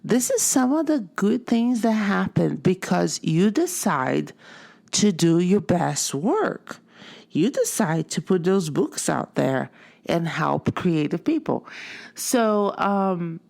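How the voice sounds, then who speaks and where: A woman who grew up speaking Portuguese talks slowly through a casual podcast monologue. Her voice sits fairly low and has a gravelly fry. She tells her story in a chatty way and often stops to correct herself. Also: expressive, darkish tone. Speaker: podcast host.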